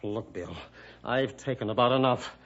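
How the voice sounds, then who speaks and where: An actor playing a surly, cynical schemer with an American accent and a gruff, dismissive manner, in 1960s radio drama style. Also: male voice, medium pitch, normal speed, fairly bright tone, lightly acted. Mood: defiant. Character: surly, defensive, cynical male schemer in business. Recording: dull vintage radio recording.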